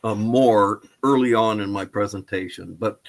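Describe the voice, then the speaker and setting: Lower-voiced man in his seventies. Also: moderate pace, creaky. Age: 70s. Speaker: guest historian and presenter. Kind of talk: prepared presentation and interview answers.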